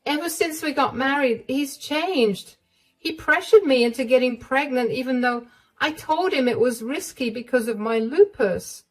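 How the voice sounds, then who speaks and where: A woman's voice: high voice, normal speed, far from the mic, pitched above the performer's own voice, rough recording. A woman voicing a distressed young wife, pleading, shaky and apologetic in a storybook tale.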